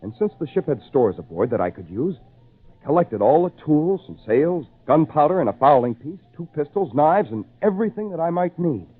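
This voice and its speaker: An actor playing a lonely shipwrecked man who tells his own tale in earnest, theatrical, measured phrases with dramatic pauses, in old-time radio style. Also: a little high, big pitch swings, husky. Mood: bleak, resigned. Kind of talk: old-time radio drama acting, first-person narration. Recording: tinny, band-limited old radio recording, faint noise.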